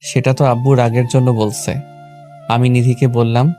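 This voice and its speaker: A man with a Bangladeshi accent narrating a scripted first-person romantic story in a plain, even delivery. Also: mid-range voice, moderate pace, soft background music. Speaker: narrator and lead character of a romantic audio story.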